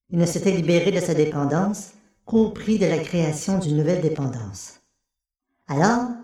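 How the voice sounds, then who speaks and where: A woman as a low, mellow guide, very echoey. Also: natural voice. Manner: measured, explanatory. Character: calm young woman guide explaining her world's history. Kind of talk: French audio fiction acting. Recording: very echoey, reverberant room.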